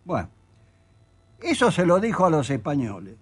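Emphatic Argentine man, lively high voice. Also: normal speed, slightly rough. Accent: Argentine Spanish. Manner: emphatic, indignant, reads quotes aloud. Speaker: author guest. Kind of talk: studio interview conversation.

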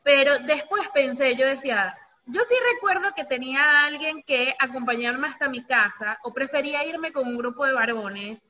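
A woman with a Venezuelan accent talking casually, telling things in an animated way with acted-out quotes, on a dull lo-fi recording. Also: high voice. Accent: Venezuelan Spanish. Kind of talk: casual conversational talk. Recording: dull lo-fi audio with faint noise.

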